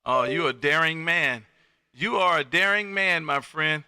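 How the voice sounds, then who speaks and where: American guy doing ham radio calls, higher pitched gravelly voice, folksy and hyped, says stuff twice. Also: a little slow, pushed and bright, dry recording, clean studio sound. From Texas, United States. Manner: folksy, exuberant, repeats phrases. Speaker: amateur radio operator and livestream host. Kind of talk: live ham radio contact chatter.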